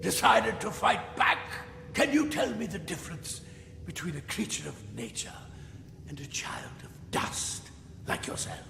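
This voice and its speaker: Gravelly, menacing fae zealot. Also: male voice, high-pitched, big pitch swings, full character voice. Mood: taunting. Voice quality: gravelly, breathy, dropping into hissed whispers. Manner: theatrical, slow, pompous. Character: menacing, zealous fae war leader scorning mortals. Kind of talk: fantasy game villain acting. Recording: echoey hall reverb over noisy ambience.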